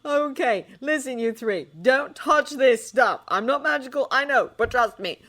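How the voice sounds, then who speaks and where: Woman doing a loud, worried grown-up guy, emphatic, higher than her own voice. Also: measured pace. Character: puzzled, earnest adult man on the phone.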